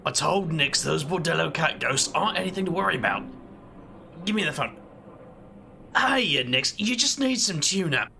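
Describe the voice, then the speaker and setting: A man's voice: on the high side, very animated, moderate pace, gravelly, noisy background. A man voicing a brooding, world-weary wizard investigator with a wry, dry way of talking. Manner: wry, brooding, muttering asides.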